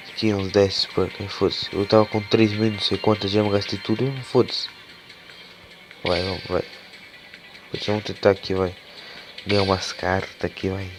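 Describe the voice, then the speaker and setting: Hoarse low-voiced man, with game music. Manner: casual and swearing, excitable when cards are revealed. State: hoarse from a cold. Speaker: gaming YouTuber. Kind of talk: casual gameplay commentary. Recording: game music playing behind the voice.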